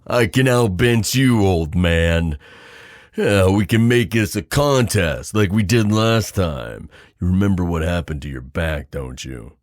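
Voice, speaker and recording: A man acting an earnest, halting, clinical paranormal investigator in an audio drama. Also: low voice, dry recording, clean studio sound. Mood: teasing.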